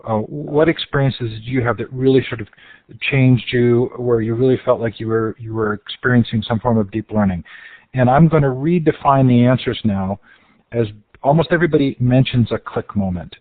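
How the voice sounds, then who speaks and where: A man's voice: medium pitch, moderate pace, dry recording, phone-quality sound. A man hosting an interview, asking long, casual, searching questions. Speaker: interview host.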